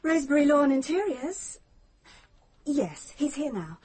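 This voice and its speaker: An actress playing an efficient office receptionist in a radio drama. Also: female voice, sits high, very animated, a little slow. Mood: businesslike. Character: bright, efficient office receptionist answering calls. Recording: noisy office scene with phone bell.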